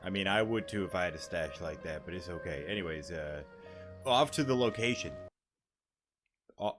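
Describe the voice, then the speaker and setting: A man's voice: deep voice, lively intonation, moderate pace, over music. A man streaming a game with casual, slangy commentary, tossing out offhand muttered asides. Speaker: gaming streamer.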